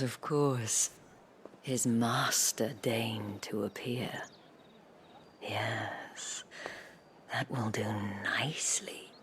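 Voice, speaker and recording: A woman playing a poised, seductive madame in a dramatic video game, breathy, languid and formal. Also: rumbling low, fairly bright tone, slight background noise, full character voice. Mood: sly. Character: poised, seductive, shrewd madame of high standing.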